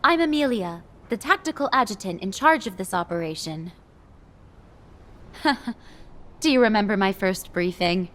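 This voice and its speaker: A woman's voice: medium pitch, expressive, unhurried, pauses often, a bit bright. An actress playing a devoted female military tactical adjutant in a video game, speaking sincerely, formally and with resolve. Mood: warm, nostalgic. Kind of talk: video game voice acting, mission briefing.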